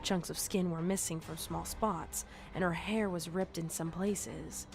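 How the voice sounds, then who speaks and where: A woman's voice: on the low side, fairly even intonation, unhurried, gravelly. A woman reading scripted horror fiction as a storyteller. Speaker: narrator. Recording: music under the narration. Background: music.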